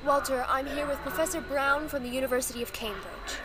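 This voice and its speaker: Actress as a brisk live news anchor, high-pitched, with noise in the background. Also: female voice, fairly even intonation, natural voice. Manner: brisk, guiding questions. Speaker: news presenter. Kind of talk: broadcast interviewing. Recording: noisy background.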